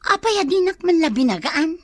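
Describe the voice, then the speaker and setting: A woman's voice: extremely high pitch, very animated, fairly quick, no echo. A woman with an Ilocano Filipino accent playing a vain, breezy young spendthrift who whines and teases in a radio comedy drama. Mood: nosy, surprised.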